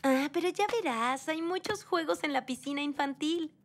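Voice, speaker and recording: A woman voicing a gentle, supportive mother rabbit with a Latin American accent, soothing and encouraging in a cartoon dub. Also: high voice, normal speed. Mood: reassuring. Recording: loud cartoon music behind voice.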